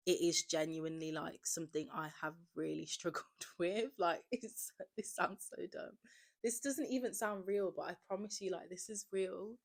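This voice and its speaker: Low-pitched young woman, candid. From Birmingham area, England. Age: 20s. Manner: candid, conversational, heavy use of "like". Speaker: podcast host. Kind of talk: casual confessional monologue.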